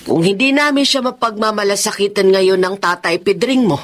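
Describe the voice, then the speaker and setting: A woman's voice: medium pitch, moderate pace, slight background noise, lightly acted. A female actor playing a devoted, worried mother who pleads emotionally in a radio drama. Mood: tender worry. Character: anxious middle-aged mother, devoted and tender.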